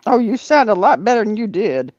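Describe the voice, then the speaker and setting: Lively woman over dull lo-fi call audio. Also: mid-range voice, dry recording. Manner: friendly, quick back-and-forth replies. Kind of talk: casual livestream chat.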